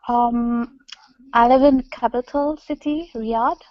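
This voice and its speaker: A woman's voice: fairly high pitch, fairly even intonation, takes it slowly, no room sound, muffled recording. A woman from Saudi Arabia, an Arabic speaker and university student, answering shyly in an online English class. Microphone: video call.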